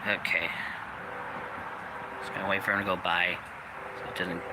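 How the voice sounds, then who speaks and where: A young man hosting a channel, giving a rambling casual update with many fillers, his voice slightly raspy from coughing. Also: deep voice, little pitch movement, moderate pace. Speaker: channel host. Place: outdoors, people walking by. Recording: noisy outdoor recording.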